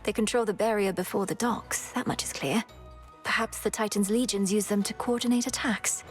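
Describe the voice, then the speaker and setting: An actress playing an earnest, courteous young sorceress heroine in a video game, speaking formally. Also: female voice, mid-range voice, steady tone, a little fast, soft background music, close to an everyday voice. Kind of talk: scripted video game acting.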